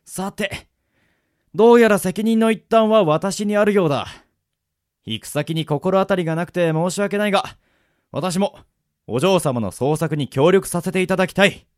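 A man playing an easygoing middle-aged swordsman mentor in a radio drama, moving between polite formality and gruff casual talk. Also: high voice, moderate pace, a little mellow, no echo, studio quality, lightly acted. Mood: composed, courteous. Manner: switches between smooth polite formality and rough casual drawl. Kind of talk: anime-style radio drama acting.

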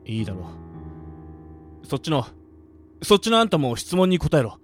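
A man's voice: fairly high pitch, sing-song, normal speed, faint music behind. A man acting as a terse, suspicious contestant in a deadly game for an audio drama, speaking curtly and seriously. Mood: curt, demanding. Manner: curt, demanding speech.

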